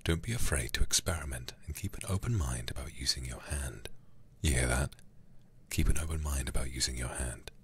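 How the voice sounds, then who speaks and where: A man livestreaming a game in an intimate ASMR style, hushed and often whispering close to the microphone. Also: very deep voice, expressive, a little fast, slightly rough. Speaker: livestream host playing a video game.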